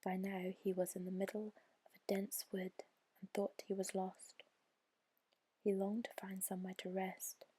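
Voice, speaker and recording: A woman reads a bedtime story in a gentle, soothing ASMR whisper, breathy and measured, close to the microphone. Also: mid-range voice, completely flat delivery, dry recording.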